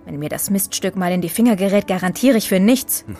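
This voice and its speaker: An actress playing a feisty, sharp-tongued young woman in an audio drama, sassy and commanding. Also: medium pitch, brisk, natural voice. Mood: indignant.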